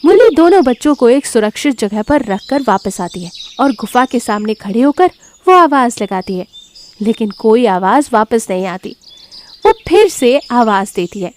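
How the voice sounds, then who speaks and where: A woman's voice: a little high, big pitch swings, moderate pace, darkish tone. A woman giving scripted narration of a children's moral story.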